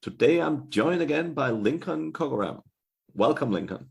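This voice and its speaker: Male podcast host, gravelly voice, friendly manner, repeats his phrases. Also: on the high side, animated, moderate pace, a little mellow. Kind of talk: casual interview hosting.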